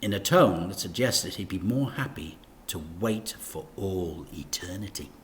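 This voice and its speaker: A man reading a children's story aloud in an expressive, teacherly narration. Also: sits low, a little slow, slight background noise.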